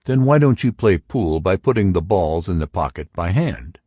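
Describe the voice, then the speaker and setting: A man's voice: low voice, expressive, moderate pace, dark tone, dry recording. A man voicing an argumentative intellectual who expounds theories to friends in an audiobook. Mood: eager, pedantic. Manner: emphatic, pedantic. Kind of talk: audiobook character dialogue.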